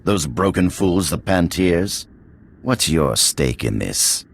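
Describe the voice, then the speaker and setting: An actor playing an arrogant, boastful, defiant usurper in a video game. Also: male voice, low-pitched, big pitch swings, slow, vocal fry, slightly rough, heavily acted. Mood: haughty, scornful. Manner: haughty, boastful. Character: arrogant, defiant middle-aged male usurper of a throne.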